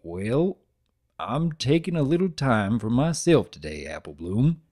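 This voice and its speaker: A man voicing a gentle, shy, laconic farm stallion, plain-spoken and folksy, in a story reading. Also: medium pitch, big pitch swings, a little slow. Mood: gentle, awkward.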